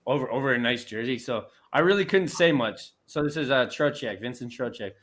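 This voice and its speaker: A man's voice: medium pitch, expressive, brisk, a bit bright. A young Canadian man hosting a casual sports chat show, talking in loose rambling restarts with easy laughs.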